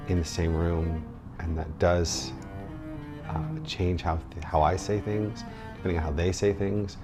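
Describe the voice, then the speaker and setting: A man who does voice acting, speaking casually in a documentary interview. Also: low-pitched. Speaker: voice actor.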